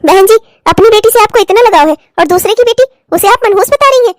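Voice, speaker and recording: Woman playing a stern, lecturing female in-law, high voice. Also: expressive, fast talker, no echo, clean studio sound. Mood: indignant, sarcastic. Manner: emphatic, sarcastic, lecturing. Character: stern, righteous woman in-law exposing the family's hypocrisy. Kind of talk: melodramatic family story acting.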